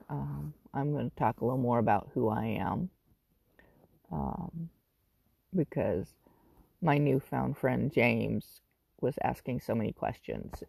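Raspy-voiced woman in her fifties, rambling. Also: a bass voice, mellow, no room sound. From Northern California. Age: 50s. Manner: rambling, with frequent ums and self-corrections. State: raspy from wildfire smoke. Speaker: podcast host. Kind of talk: casual personal monologue.

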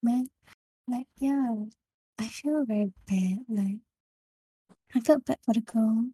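A young woman with a Singaporean English accent, casually discussing a book with friends and often pausing to find her words. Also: on the high side, warm tone, husky, dry recording, poor audio. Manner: halting, searching for words. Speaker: book club member.

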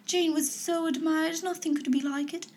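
A woman voicing an excitable middle-aged mother who chatters in a breathless, fluttery rush. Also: very high voice, moderate pace, slight background noise, voice pushed up. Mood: gushing.

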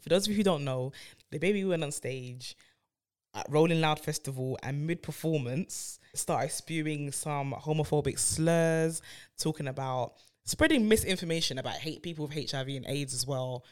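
A woman co-hosting a podcast, telling stories in a relaxed, giggly way. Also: sits low, moderate pace. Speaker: podcast co-host. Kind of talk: casual chat.

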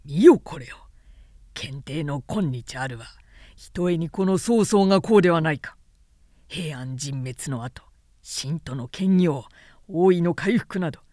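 An imperious warlord from an old Chinese epic, acted out by a female narrator. The voice is low and commanding, full of proud, hot-tempered authority, declaiming in archaic phrases for a storybook reading. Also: a little mellow, no echo, clean studio sound, close to an everyday voice.

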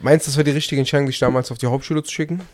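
A man's voice: mid-range voice, steady tone, racing, darkish tone, no room sound. A young man with an ordinary mid-range voice keeps pressing his co-host with pointed, teasing questions. The recording is dry and close-miked. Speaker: podcast co-host. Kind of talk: casual podcast debate.

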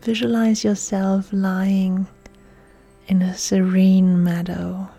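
A woman guides a relaxation meditation as a yoga instructor. Her voice is soft, breathy and mellow, often close to a whisper. She soothes with very drawn-out, unhurried phrasing over faint music. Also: mid-range voice, little pitch movement. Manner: soothing, hushed, often near-whispered delivery.

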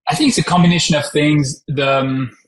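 A man's voice: on the high side, steady tone, fairly quick, poor audio. A man in his thirties from France with a heavy French accent, a startup founder speaking in an interview, hesitant with many fillers. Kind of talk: casual interview talk.

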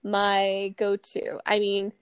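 A woman's voice: mid-range voice, monotone, takes it slowly, clean tone, no room sound, studio quality. A woman in her early thirties co-hosting a casual personal chat, talking in a chatty, rambling way with plenty of "like". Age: early 30s. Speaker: podcast co-host.

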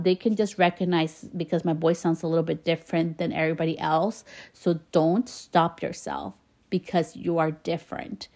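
Woman podcast host, slight Spanish-tinged accent, earnest and encouraging, a touch low-pitched. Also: darkish tone. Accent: slight Spanish-influenced. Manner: earnest, encouraging, laughs between phrases. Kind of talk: casual motivational monologue.

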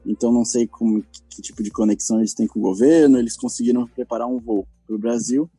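A man's voice: mid-range voice, fairly even intonation, fast, dark tone, slightly rough, no room sound, soft background music. A man, a podcast guest, describing events in a plain, matter-of-fact way. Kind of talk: casual chat.

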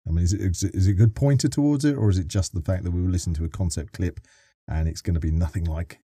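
Very bright-voiced man hosting a podcast. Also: sits low, very fast, husky, no echo. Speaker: podcast co-host and interviewer. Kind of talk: casual podcast interview and chat.

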